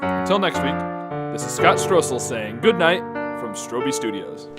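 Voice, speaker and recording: A man's voice: medium pitch, big pitch swings, measured pace, over loud music. A man presenting a radio drama as its host and announcer.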